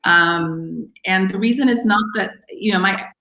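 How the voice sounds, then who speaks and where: A woman's voice: medium pitch, a little slow, pushed and bright. A woman from Kuwait, speaking as a poet in an earnest, encouraging way over a video call. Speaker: poet guest. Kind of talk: casual Q&A answers. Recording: echoey room.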